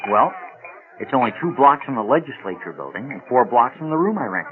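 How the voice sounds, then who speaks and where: An actor playing a polite, hesitant, earnest young small-town coach in a radio drama. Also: male voice, medium pitch, big pitch swings, fairly quick, phone-quality sound, background noise, lightly acted. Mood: earnest, friendly. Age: young adult.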